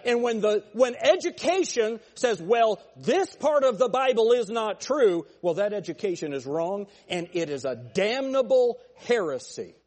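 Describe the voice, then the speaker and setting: A male pastor preaching a fiery sermon with a thin, nasal voice, pressing his points with forceful, repeated phrases. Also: sits high, sing-song, a little slow, few pauses, big-room sound. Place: church sanctuary.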